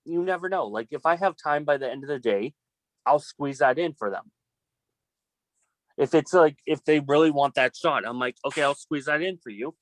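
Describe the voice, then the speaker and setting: A man's voice: a little high, animated, moderate pace, no echo, poor audio. Man chatting casually in a dry space. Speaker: student filmmaker in an online class meeting. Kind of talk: casual group discussion.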